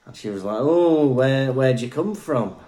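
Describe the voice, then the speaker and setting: A man's voice: mid-range voice, animated, a little slow, warm tone. A young adult man from Wales co-hosting a podcast, chatting casually, telling stories and laughing often. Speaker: podcast co-host. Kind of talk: casual chat.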